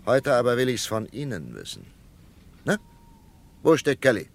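A commanding FBI captain with a gravelly voice. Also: male voice, mid-range voice, wildly swooping pitch, exaggerated voice. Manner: commanding, brisk. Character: tough confident male FBI captain. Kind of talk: vintage radio drama acting.

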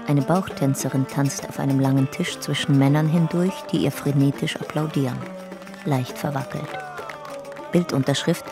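A woman narrating a radio drama in documentary style, sober, clipped and matter-of-fact. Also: sits low.

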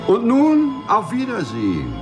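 An actor in a 1950s German radio play, playing a polite, eccentric prisoner who speaks formally and precisely, serenely proud and rapt. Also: male voice, extremely high pitch, wildly swooping pitch, measured pace, over music, heavily acted. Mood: rapt, triumphant. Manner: courteous, formal, precise, rapturous. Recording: 1950s radio drama recording.

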